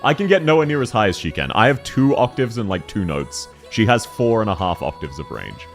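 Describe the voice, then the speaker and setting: A young man with a deep voice chats through a game stream, answering viewers in an excitable, slangy way and laughing often. His pitch swings widely from line to line. Faint music plays underneath. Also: brisk. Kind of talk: casual live-stream chat, answering viewer questions.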